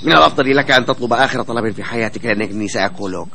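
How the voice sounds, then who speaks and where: A man's voice: medium pitch, rapid-fire, vocal fry, crowd noise, natural voice. A man voicing a sly, gloating, hungry mongoose in a children's cartoon dub. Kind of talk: children's cartoon dubbing in formal Arabic.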